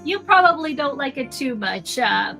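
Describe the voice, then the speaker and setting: A woman's voice: sits high, big pitch swings, moderate pace, vocal fry. A woman hosting an art livestream, chatting casually with viewers, rambling with lots of ums and restarts and easy laughs. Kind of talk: casual chat with live chat. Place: home room. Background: soft music.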